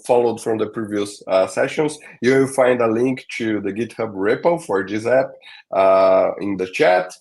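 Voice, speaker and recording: A man hosting an online tech webinar. His voice sits at an ordinary middle pitch, and he leans on "uh" and "um" as he moves from point to point. He checks in with the audience now and then. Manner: many fillers, checks in with "all right?". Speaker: webinar host.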